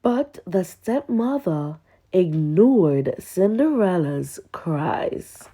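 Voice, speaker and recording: Very slow, expressive woman storyteller. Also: on the low side, husky. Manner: expressive, dramatic pauses. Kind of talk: scripted children's story narration.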